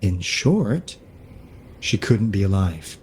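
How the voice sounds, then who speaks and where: An actor playing an earnest, friendly young comrade in a video game, forthright and husky-voiced. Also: male voice, low voice, big pitch swings, slow pace, darkish tone, slight background noise, heavily acted. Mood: serious, explanatory. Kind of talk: video game character acting.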